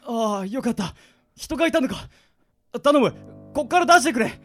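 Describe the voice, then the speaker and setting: A man playing a panicking, rough-spoken captive in a radio drama, blunt and demanding. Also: very high-pitched, expressive, normal speed, faint music behind. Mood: desperate, urgent. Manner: blunt, commanding shouts.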